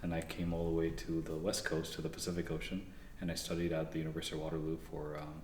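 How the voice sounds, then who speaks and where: A young man from Canada, a guest engineer, talking in a relaxed, rambling way with many fillers and restarts. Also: extremely low pitch, quick pace, a little hiss or hum. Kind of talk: casual interview conversation. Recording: echoey room.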